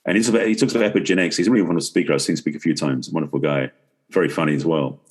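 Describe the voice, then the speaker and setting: A middle-aged man talks as an expert guest on a podcast. His voice is very low and noticeably husky, and he speaks fast. He explains in a conversational way, rephrasing as he goes.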